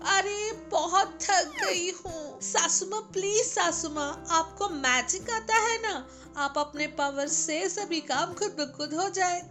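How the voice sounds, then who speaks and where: Cartoon daughter-in-law, played by a woman: high-pitched, greedy, whiny schemer with theatrical wheedling, over music. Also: slow. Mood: whiny pleading. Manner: dramatic, wheedling. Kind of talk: animated moral comedy story voice acting. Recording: background music under the voice.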